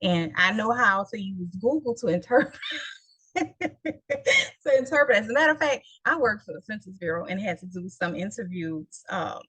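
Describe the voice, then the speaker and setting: A woman's voice: medium pitch, animated, normal speed, pushed and bright, husky, no echo. Woman, New Orleans accent, talk host, playful, laughs a lot, casual slang. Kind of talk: casual interview chat.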